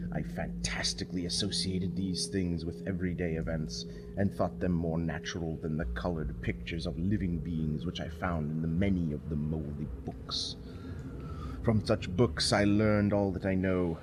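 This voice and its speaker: A man with a low voice gives a dramatic audiobook reading of gothic horror. He is solemn, measured and brooding. Clearly audible ambient noise sits underneath the voice. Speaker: story narrator. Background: spooky ambience.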